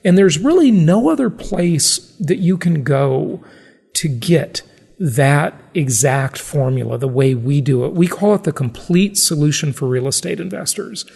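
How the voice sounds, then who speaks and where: A man hosting a podcast in a casual, chatty and self-deprecating way, with a raspy voice. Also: fairly high pitch, expressive, a little slow, a little mellow, long reverb tail. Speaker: podcast host.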